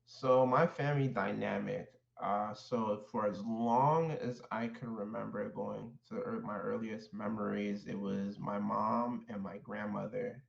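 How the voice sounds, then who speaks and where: A man born in Haiti, a guest in an interview, telling his life story in a measured, thoughtful way with frequent fillers and restarts. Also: fairly low pitch, steady tone, gravelly, echoey room, highs cut off. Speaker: guest, clinical social worker. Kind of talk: reflective personal storytelling.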